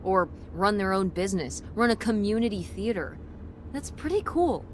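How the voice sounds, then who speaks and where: An earnest teenage daughter, played by an actress, talks in a casual, slangy, sincere way. Her voice sits at an ordinary pitch. A faint hiss lies under the game dialogue. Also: lively intonation, moderate pace, natural voice. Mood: upbeat. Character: earnest teenage daughter, budding web designer. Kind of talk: naturalistic game voice acting.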